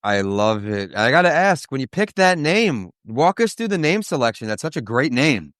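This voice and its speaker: Smooth-voiced upbeat male podcast host. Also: mid-range voice, very animated, fairly bright tone, no echo, studio quality. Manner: upbeat, praising, slow and emphatic at times. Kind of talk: hosting an interview.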